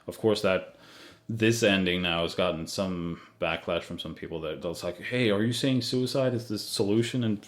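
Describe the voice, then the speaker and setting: Swedish-accented man, low voice, director chatting on a commentary, hesitant delivery. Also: moderate pace, hardly any pauses. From Sweden. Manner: hesitant, many fillers and restarts. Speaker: film director doing a commentary. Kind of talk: casual commentary chat.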